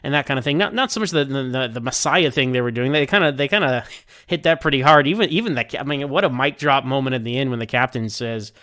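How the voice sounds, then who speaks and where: Guy doing a casual fan review, excited and rambly, trips over words a lot, clean smooth voice, dry sound. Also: medium pitch, quick pace, no echo. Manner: enthusiastic, rambling, stammering with repeated words. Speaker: listener sending in episode feedback. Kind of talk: casual spoken review of a TV episode.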